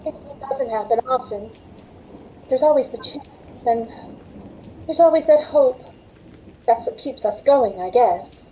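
A woman's voice: a little high, a little slow, long pauses, warm tone, a little hiss or hum, close to an everyday voice, poor audio. A middle-aged woman playing a weary, wistful 1940s Hollywood carhop who confides her story in old-time radio drama style. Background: rain.

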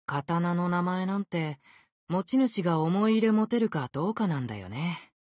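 A man's voice: high-pitched, expressive, normal speed, darkish tone, no echo, clean studio sound. A man voicing an easygoing, unfazed ancient sword spirit in youthful male form for a game, with languid, nonchalant phrasing. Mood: airy, nonchalant. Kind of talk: Japanese game character voice acting.

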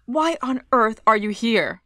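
A young policewoman in a 1990s horror game. Her voice is high and dry, and she delivers each line slowly and earnestly, with stilted deliberation. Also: big pitch swings, fairly bright tone, no room sound. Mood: relieved.

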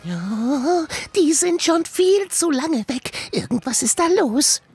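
A woman's voice: high voice, very animated, takes it slowly, darkish tone, soft background music, heavily acted. A woman voicing a small, impatient male chipmunk with a scratchy voice and emphatic delivery in an audio drama.

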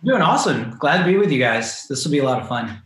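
Relaxed man in a roomy home office. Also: mid-range voice, quick pace. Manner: relaxed, rambling with fillers. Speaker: guest filmmaker. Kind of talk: casual interview answers. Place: small home room with shelves. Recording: roomy webcam sound.

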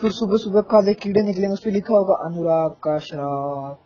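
A man's voice: high-pitched, fairly even intonation, normal speed, dark tone, slight background noise. A young man on a casual phone call, ranting insistently with playful threats in a nagging manner. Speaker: caller, gaming fan. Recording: muffled lo-fi phone call recording.

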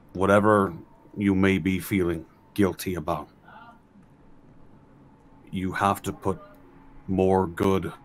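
A man's voice: deep voice, poor audio. A man playing a tabletop role-playing game, improvising in-character dialogue in a restrained, reassuring manner. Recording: faint background noise.

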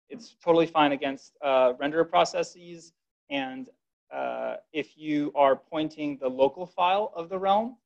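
A man's voice: fairly high pitch, vocal fry. A man wrapping up a tech presentation at a meetup, informal and upbeat with filler words. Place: dark presentation room. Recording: dull lo-fi room recording.

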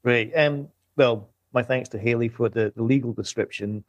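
A man's voice: mid-range voice, moderate pace, no echo. A man, an economist guest, laying out his explanation in neat, numbered steps. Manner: orderly, lists points in steps. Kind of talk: podcast discussion, structured explanation.

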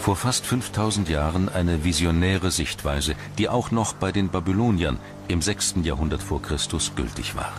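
A man reading scripted documentary narration in a measured, dramatic storytelling manner. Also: sits low, lively intonation, background noise. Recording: music bed behind the voice. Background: music.

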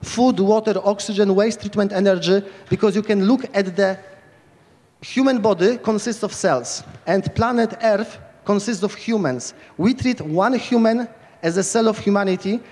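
Excitable Polish man on a reverberant stage. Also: high voice, normal speed. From Poland. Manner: rambling, enthusiastic, many restarts. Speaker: lightning talk speaker. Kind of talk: informal conference talk. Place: large tent stage at hacker camp. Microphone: handheld mic.

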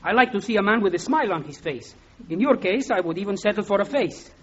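Cheeky Latin lover, Spanish accent, animated delivery, muffled vintage radio sound. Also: male voice, high voice, quick pace, husky. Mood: cheeky, teasing. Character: cheeky Latin lover sweetheart, a suave teasing señor. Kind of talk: 1940s radio comedy acting. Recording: muffled 1940s radio broadcast recording.

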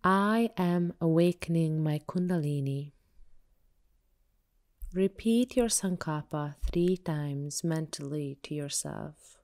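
Woman, guided meditation teacher, soft mellow tone, very slow pace, soothing and measured. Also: sits low. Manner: soothing, measured, unhurried instruction. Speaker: yoga teacher guiding meditation.

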